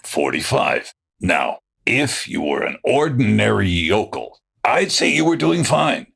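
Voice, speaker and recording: A man playing a miserly, imperious old tycoon in an old-time radio drama, with a gravelly, condescending delivery. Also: low voice, a bit bright, full character voice. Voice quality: gravelly, husky.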